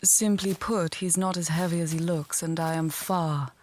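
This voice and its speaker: A woman playing a wry, confident mage and healer in a fantasy audio tale, speaking in a measured, articulate, dryly teasing way. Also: lowish voice, steady tone, a little mellow, a little hiss or hum, close to an everyday voice. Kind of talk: fantasy audio roleplay, addressing the listener.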